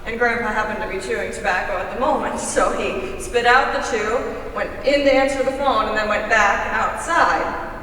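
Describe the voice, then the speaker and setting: A woman's voice: fairly high pitch, moderate pace, pushed and bright. A woman telling family anecdotes as a performer in a staged reading. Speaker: readers theater performer. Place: large hall, live stage reading. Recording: echoey hall from far off, faint noise.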